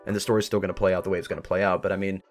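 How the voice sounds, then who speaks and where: Fast-talking man, enthusiastic explainer. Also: low-pitched, little pitch movement, faint music behind. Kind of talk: casual discussion.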